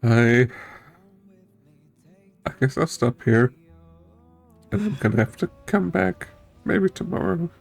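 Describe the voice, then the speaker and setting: A streamer talking to viewers in an unscripted way, tearful, sniffling and choked up from crying, halting and at a loss for words. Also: medium pitch, lively intonation, gravelly, faint music behind. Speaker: streamer, game playthrough host. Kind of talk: emotional unscripted chat to viewers after finishing a game.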